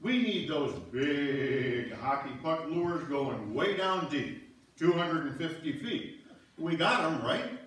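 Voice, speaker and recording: Hearty, folksy fishing buddy played by a man, eager, slightly high voice, distant echoey stage recording. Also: expressive, takes it slowly, fairly bright tone, slight background noise. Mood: impatient, eager. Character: Murph, eager, easygoing beer-loving ice fisherman. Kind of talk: amateur reader's theater, read from script. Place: on stage in a church, audience seated. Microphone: far camera. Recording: echoey church hall, distant camera.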